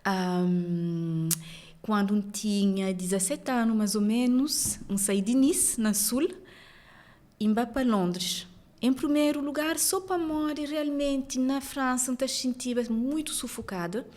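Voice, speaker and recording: A woman from France with a Cape Verdean accent, a journalist telling her story as a podcast guest in lively conversation. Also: fairly high pitch. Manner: animated storytelling, quick laughs. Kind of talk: casual interview conversation.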